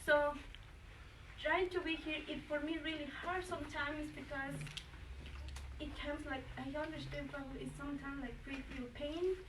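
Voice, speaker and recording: A woman, English her third language, giving halting, emotional testimony at a community forum. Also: high voice, fairly even intonation. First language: Nahuatl. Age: 40s. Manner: halting, searching for words. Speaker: forum speaker. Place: community center meeting room. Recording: echoey room, far mic, noisy.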